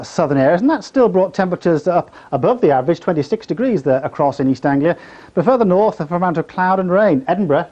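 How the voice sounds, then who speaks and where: A middle-aged man gives a TV weather forecast at a very quick clip. His voice is fairly high and soft-edged, on a dull old videotape recording. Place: TV weather studio. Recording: dull archive VHS sound.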